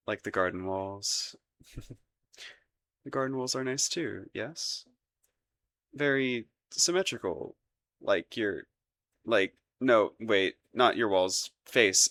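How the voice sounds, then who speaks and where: A man's voice: fairly low pitch, bright, forceful tone, full character voice. Man acting a flustered, lovestruck young crown prince. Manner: stammering, nervous, rambling, formal courtly wording. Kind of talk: romantic ASMR-style audio roleplay.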